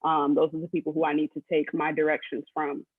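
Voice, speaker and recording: A man's voice: on the high side, fast talker, husky, no room sound, phone-quality sound. A man speaking on a panel, making emphatic points in long lists. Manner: emphatic, list-like, steady build of points. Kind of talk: panel discussion.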